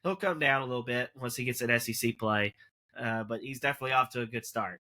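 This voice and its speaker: A man's voice: mid-range voice, quick pace, sharp tone. A man co-hosting a casual college sports podcast, rambling through numbers and correcting himself as he goes. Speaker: podcast co-host.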